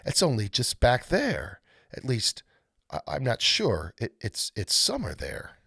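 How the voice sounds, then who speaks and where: A man's voice: medium pitch, wildly swooping pitch, a little slow, vocal fry, sharp tone, breathy edge, no room sound, studio quality, full character voice. A man voicing a young girl in a storybook, polite, puzzled and a little hesitant. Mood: amused, unsure. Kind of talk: storybook read-aloud character voice.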